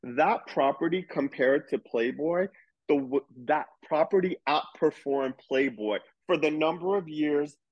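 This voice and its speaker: A man's voice: fairly high pitch, a little slow, lo-fi sound. A man talking candidly and animatedly in a casual podcast conversation, swearing now and then as he explains his views. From grew up in a Persian community. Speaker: reality TV star guest.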